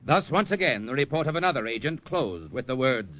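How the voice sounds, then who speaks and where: A man's voice: mid-range voice, sing-song, a little fast, a bit bright. A man announcing and narrating a script in dramatic old-time radio style. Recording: faintly noisy old radio recording.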